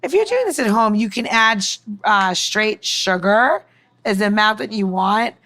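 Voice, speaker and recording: A woman's voice: medium pitch, lively intonation, moderate pace, fairly bright tone. A woman mixologist giving a casual, informal demonstration, chatting and explaining as she goes. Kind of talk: casual cooking-style demonstration.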